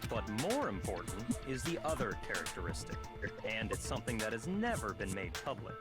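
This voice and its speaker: A haughty, self-assured young man from a video game, formal and deliberate. His pitch moves widely for emphasis, and music plays clearly behind him. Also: very animated. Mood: cool, expository. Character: cold, self-assured young man taking charge of a murder investigation.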